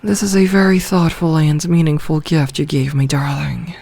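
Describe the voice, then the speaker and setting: A woman playing a possessive, commanding female werewolf leader in an ASMR audio roleplay, with deliberate, formal phrasing. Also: deep voice, fairly even intonation, gravelly, mellow. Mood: tender, adoring.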